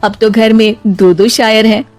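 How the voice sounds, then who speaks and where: A woman reading scripted narration for an animated moral story. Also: a little high, measured pace.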